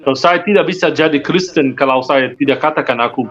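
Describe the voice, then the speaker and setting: A man's voice: on the high side, brisk, fairly bright tone. Earnest man with muffled lo-fi sound. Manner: earnest, explanatory, rhetorical questions, mixes in English words. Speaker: guest speaker. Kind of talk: conversational religious discussion.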